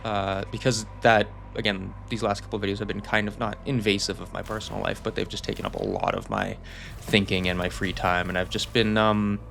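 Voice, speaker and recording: A man's voice: fairly low pitch, vocal fry, fairly bright tone. A young man giving a casual, rambling channel update, self-deprecating and reassuring. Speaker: channel host.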